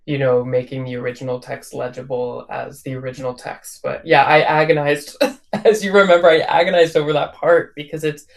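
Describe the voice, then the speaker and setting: A man's voice: mid-range voice, flat delivery, a little fast, creaky. A man working as a book editor, explaining thoughtfully in a casual conversation on a video call. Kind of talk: casual virtual event conversation.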